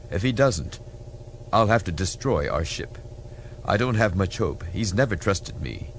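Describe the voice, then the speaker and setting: A man's voice: deep voice, slight background noise. A man voicing a tense, resolute starship officer in an audiobook, with terse military delivery. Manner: terse, formal military reporting.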